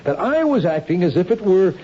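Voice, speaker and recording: An actor playing a patient, concerned husband in a 1970s radio drama, earnest and gently reassuring. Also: male voice, high-pitched, very animated, fairly quick, husky, full character voice. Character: concerned, patient husband, a city hall official. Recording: dull, lightly noisy old radio recording.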